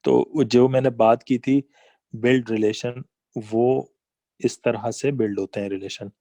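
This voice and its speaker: A man talks through a business lesson over slides. His tone is conversational, moving from examples to asides as he explains each point. The voice is mid-pitched and recorded close and dry. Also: steady tone, measured pace, mellow, no room sound. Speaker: online business trainer.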